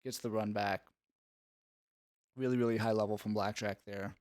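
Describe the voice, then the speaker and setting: A man's voice: lowish voice, steady tone, normal speed, darkish tone, dry recording, studio quality. A man giving analytical play-by-play sports commentary in an instructive, explanatory way.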